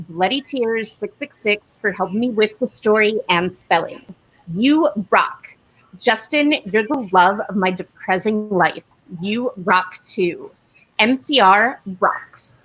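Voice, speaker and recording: A woman's voice: medium pitch, fairly bright tone, poor audio. Woman giving a mock-serious dramatic reading. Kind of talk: dramatic comic reading of fan fiction.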